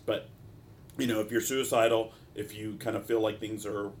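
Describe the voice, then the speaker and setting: A man with a fairly low, congested voice talks through an informal solo podcast as its host. He speaks in a halting, discursive way with frequent asides. A clear rumbling noise sits behind his voice. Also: flat delivery. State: congested, stopped-up nose. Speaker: podcast host, mental health practitioner.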